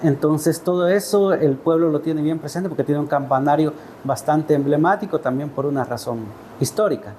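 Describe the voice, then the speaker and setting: A man's voice: on the high side, moderate pace, few pauses, warm tone, a little hiss or hum. A man with a Salvadoran accent giving thoughtful, hesitant interview answers as a writer, often ending phrases with questioning tags. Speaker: interview guest, poet and writer.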